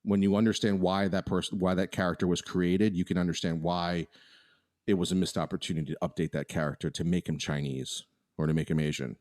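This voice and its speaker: A man's voice: deep voice, steady tone, a little fast, a little mellow. A man co-hosting a podcast, talking in a casual, opinionated way with many restarts. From United States. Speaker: podcast co-host.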